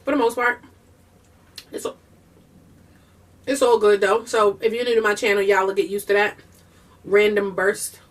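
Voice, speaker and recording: Fast-talking woman with a Southern Puerto Rican lilt. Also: medium pitch, level tone, distant mic. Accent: Puerto Rican-tinged Southern US. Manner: breaks into bursts of singing. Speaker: vlogger and mother. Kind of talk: casual mukbang chat while eating.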